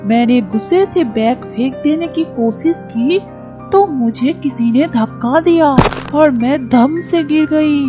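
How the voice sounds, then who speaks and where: A woman voicing a sulky, spiteful schoolchild in an animated story. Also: sits high, slow pace. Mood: aggrieved, puzzled. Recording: dull lo-fi track with music behind.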